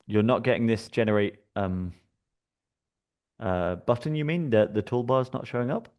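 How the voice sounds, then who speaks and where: A man from the UK with a British accent, presenting a live software workshop in a relaxed, chatty way, with many fillers and restarts. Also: mid-range voice, moderate pace. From United Kingdom.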